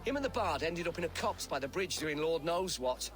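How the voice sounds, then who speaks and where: A cranky, coarse townsman from a medieval game, grumbling sarcastically. His voice sits high for a man. Light music runs behind him. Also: animated, a little fast, fairly bright tone. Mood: sarcastic, cranky. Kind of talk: video game drama acting.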